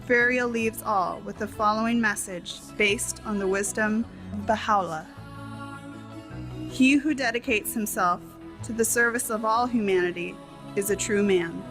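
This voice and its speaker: A woman reading a translated tribute and speech as a voice-over, in measured, formal phrasing with short clauses. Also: mid-range voice, over music.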